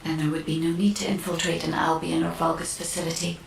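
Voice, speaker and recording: An actress playing a calm, analytical science officer in a video game, speaking in a measured, precise and composed way. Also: female voice, deep voice, gravelly. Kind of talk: dramatic video game cutscene acting. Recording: echoey, distant, noisy game audio.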